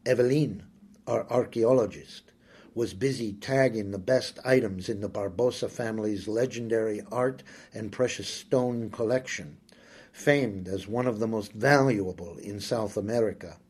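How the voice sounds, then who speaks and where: A man reading a first-person story aloud, slow, brooding and intimate. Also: fairly low pitch, a little mellow, breathy edge. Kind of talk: scripted first-person audiobook narration.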